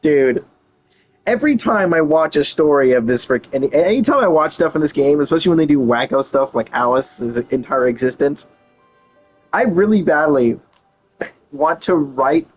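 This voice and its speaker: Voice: mid-range voice, expressive, normal speed. A streamer reacting to a game in casual commentary, amused, informal and rambling. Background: faint background music.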